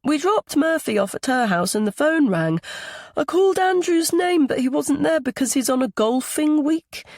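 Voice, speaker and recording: Woman voicing an eager, high-pitched little boy. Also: animated, moderate pace, a little mellow, no room sound, studio quality. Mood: eager, chatty. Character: young boy grandson, chatty, earnest, innocently blunt. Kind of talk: audiobook character dialogue.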